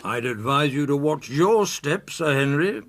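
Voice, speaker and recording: An actor playing a cantankerous, litigious country neighbour in a radio drama, with a blustering, pointed delivery. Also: male voice, fairly high pitch, lively intonation, measured pace, a bit bright. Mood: menacing.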